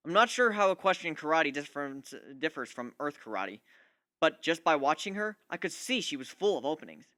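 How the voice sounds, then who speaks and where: A man's voice: fairly high pitch, big pitch swings, brisk, sharp tone, no echo. A man voicing a cocky, scheming male griffin in a dramatic story reading, talking in a boastful, glib way. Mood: smug, confident. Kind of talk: dramatic reading of fan fiction.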